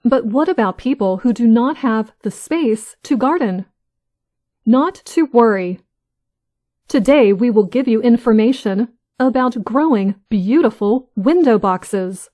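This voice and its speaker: A woman's voice: fairly high pitch, animated, unhurried, dark tone. A woman reading a news article in a careful, evenly paced way for English learners. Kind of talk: slow, clear scripted reading.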